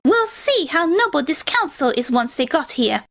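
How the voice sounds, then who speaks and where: A woman's voice: sits high, big pitch swings, normal speed, fairly bright tone, exaggerated voice. A woman voicing a vain, bratty young pony princess with an imperious, boastful manner in a cartoon fan fiction reading. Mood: annoyed, scornful.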